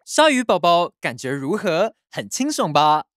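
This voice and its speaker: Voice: mid-range voice, sing-song, unhurried, fairly bright tone, dry recording, clean studio sound. An actor playing a caring, cheerful father shark in a Taiwanese Mandarin children's cartoon, playful and coaxing with exaggerated delivery. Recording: children's music behind voice.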